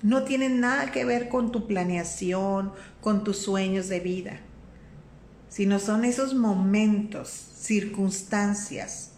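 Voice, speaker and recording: A Mexican woman hosts a faith-based live stream and talks to her viewers in a warm, conversational way. She greets people by name and keeps checking in with them. Her voice bounces around a roomy space, with faint room noise underneath. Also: medium pitch, slow. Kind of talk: casual live-stream talk on faith and life.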